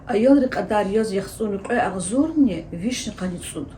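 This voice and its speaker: Hesitant woman explaining, mellow voice. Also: medium pitch, animated, normal speed, slight background noise. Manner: explanatory, hesitant with frequent fillers. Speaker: Lezgian language teacher and researcher. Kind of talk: interview answers.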